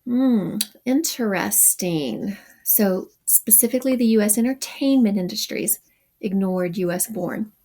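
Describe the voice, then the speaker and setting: Woman reading and commenting on a text, gravelly. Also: medium pitch, unhurried, warm tone. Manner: reads passages then adds wry asides. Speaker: teacher.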